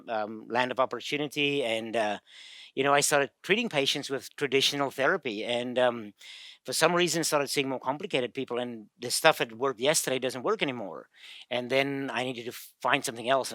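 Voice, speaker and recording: A South African man talks through patient stories in a lively, bright voice, often acting out what patients say. The sound is close and dry, with no room echo. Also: medium pitch, a little fast. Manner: storytelling, laughs easily, quotes patients. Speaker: guest pain expert. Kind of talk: casual explanatory chat.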